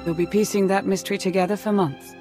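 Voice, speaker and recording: An actress playing a loyal, shrewd female intelligence chief in a dramatic video game, with a crisp, formal manner. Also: medium pitch, fairly even intonation, quick pace, music in the background, lightly acted. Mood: grave, businesslike.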